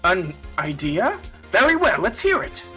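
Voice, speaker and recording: Performer doing a bright, excitable pompous male judge. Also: medium pitch, unhurried, faint music behind, exaggerated voice. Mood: considering. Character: flustered, excitable male courtroom judge, pompous and easily shocked. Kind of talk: amateur anime-game fandub acting.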